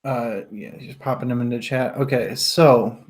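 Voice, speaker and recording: A man's voice: mid-range voice, moderate pace. A man in a casual book discussion, talking in an informal, rambling way as a co-host. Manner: rambling, informal, laughs often while talking.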